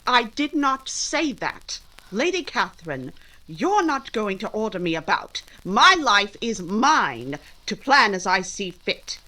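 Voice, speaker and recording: A woman acting a witty, independent young gentlewoman in a 1940s-style radio drama, poised and emphatic. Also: medium pitch, very animated, slow pace, full character voice, low-quality recording. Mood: defiant, poised.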